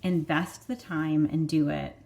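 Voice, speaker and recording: Woman, Michigan accent, thirties, fairly low voice, rambling casual vlog chatter. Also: steady tone, unhurried, vocal fry, darkish tone. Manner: chatty, rambling, many fillers. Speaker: vlog host. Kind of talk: casual chat.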